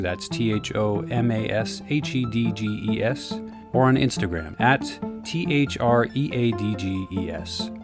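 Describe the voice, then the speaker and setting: Guy announcer reading intro, lowish voice, loud guitar music behind. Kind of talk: scripted narration.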